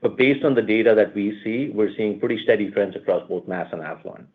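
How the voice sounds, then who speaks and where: Male executive, quick delivery with restarts, tinny phone sound. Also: lowish voice. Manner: fast, repeats words, leans on "look" and "at the end of the day". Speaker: company executive. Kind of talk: earnings call answers. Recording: tinny phone-line audio.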